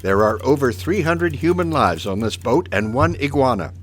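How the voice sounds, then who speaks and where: A man's voice: medium pitch, lively intonation, normal speed, slight background noise, natural voice. A man playing a stoic, self-important navy captain, formal and earnest, in an audio comedy drama. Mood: grave resolve.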